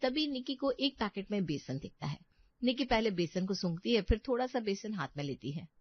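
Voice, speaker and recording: A woman's voice: mid-range voice, very animated, brisk, breathy edge, lo-fi sound. A woman narrating a scripted family moral story as a storyteller.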